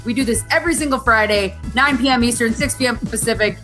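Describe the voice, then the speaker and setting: A woman's voice: fairly high pitch, quick pace, bright, forceful tone, soft background music. A woman hosting a podcast in casual banter, brash and emphatic with a salty tongue. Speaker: podcast host. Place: a friend's house.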